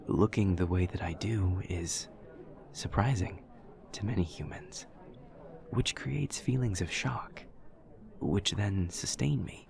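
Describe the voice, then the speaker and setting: A man playing an awkward, earnest young demon student in an audio roleplay, speaking softly in stiff, precise, literal sentences. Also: fairly low pitch, creaky. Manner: stiff, precise, literal, measured formal diction. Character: awkward, formal, earnest male demon student with horns and tail. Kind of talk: audio roleplay, scripted monologue to a listener.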